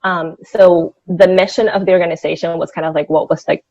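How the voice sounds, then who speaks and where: A woman's voice: lowish voice, steady tone, fairly quick, a little mellow, no room sound, highs cut off. A woman in her thirties from Venezuela, answering interview questions conversationally and informally, often saying "like". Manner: informal, peppers sentences with "like". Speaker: interview guest, senior financial analyst.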